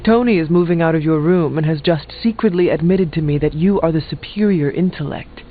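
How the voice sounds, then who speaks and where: Man as supportive hero, highish voice, formal, measured, game dialogue, tinny sound. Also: natural voice. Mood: earnest. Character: sincere, steadfast male hero, formal and supportive. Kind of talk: video game voice acting. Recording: tinny band-limited game audio with music.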